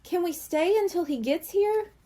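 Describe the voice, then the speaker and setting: A woman's voice: very high-pitched, animated, normal speed, warm tone, pitched above the performer's own voice. A woman reading as an earnest young kitten girl. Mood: hopeful.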